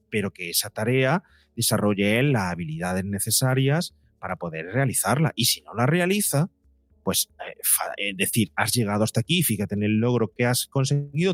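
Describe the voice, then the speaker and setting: Low-voiced man explaining, close dry recording. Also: no room sound. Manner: acts out imagined quotes to illustrate points. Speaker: guest psychologist and podcaster. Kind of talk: conversational interview explanation.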